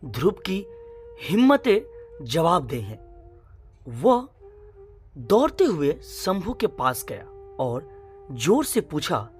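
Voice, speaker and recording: A woman narrating a scripted suspense story in a dramatic, measured way, in short phrases. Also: low-pitched, very animated, a little mellow, soft background music.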